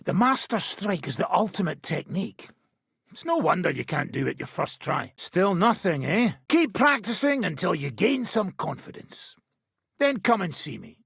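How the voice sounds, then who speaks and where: An actor playing an impatient, seasoned mercenary swordsman with a rough, gravelly voice, giving blunt, commanding instruction in a medieval video game. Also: sits high, no room sound, clean studio sound, heavily acted. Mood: blunt, challenging. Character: gruff, impatient seasoned male mercenary swordsman teaching combat. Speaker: video game character, sword-fighting trainer.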